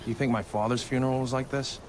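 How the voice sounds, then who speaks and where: An actor playing a wary young male game protagonist, dry and low-key. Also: steady tone, fast, noisy background, close to an everyday voice. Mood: wry. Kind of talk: video game acting.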